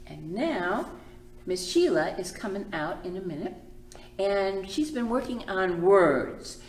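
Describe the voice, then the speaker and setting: Echoey, animated woman reading to children. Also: medium pitch, a little hiss or hum. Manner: expressive, simple phrasing for small children, drawn-out words. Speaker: children's librarian and storytime host. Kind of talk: children's storytime reading and teaching. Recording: echoey room.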